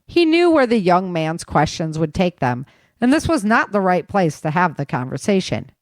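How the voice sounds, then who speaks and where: A woman's voice: fairly low pitch, big pitch swings, moderate pace, no room sound. A woman reading scripted fiction as the narrator of an audio story.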